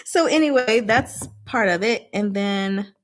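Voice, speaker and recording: A woman's voice: medium pitch, measured pace. A woman hosting a casual book discussion, explaining things informally with playful, rambling asides.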